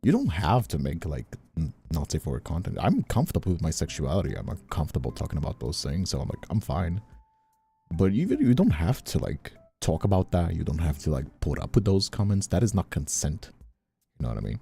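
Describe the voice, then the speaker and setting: A man's voice: sits low, sing-song, a little fast, gravelly, warm tone, a little hiss or hum. A man from Brazil chatting casually on a livestream, candid and rambling, swearing freely. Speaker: streamer and voice actor. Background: music.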